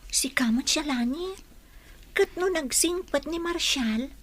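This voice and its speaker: Bright, very high-voiced girlfriend confidante. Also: expressive, slow pace, exaggerated voice. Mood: advising, concerned. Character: teasing, frank young female best friend. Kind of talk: Filipino radio drama acting.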